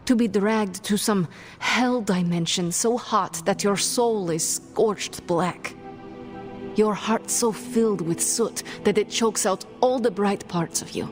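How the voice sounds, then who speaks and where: A woman's voice: medium pitch, a little mellow, lightly acted. A woman voicing a bitter, haughty young sorceress in a video game, guarded and formal in her speech. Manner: formal, disdainful, deliberate. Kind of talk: scripted game dialogue. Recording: music behind the voice.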